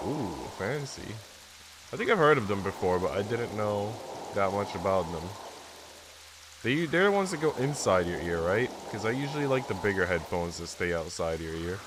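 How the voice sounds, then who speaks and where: Man, low voice, congested, informal game streamer, reads story text aloud, rambling slangy asides, clear rain-like noise behind. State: congested with a cold. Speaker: live streamer playing a visual novel. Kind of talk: casual livestream chat mixed with reading game narration aloud.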